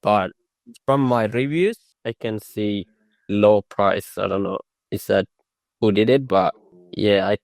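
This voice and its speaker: A young man who is not a native English speaker, talking in a casual interview with halting, unpolished phrasing and frequent restarts. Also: lowish voice, gravelly, a little mellow, no echo. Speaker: new mowing franchisee.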